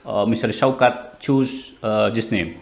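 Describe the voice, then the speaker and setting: A man hosting a podcast interview, asking questions in hesitant, halting phrasing. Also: fairly low pitch, fairly even intonation, vocal fry. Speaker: podcast host.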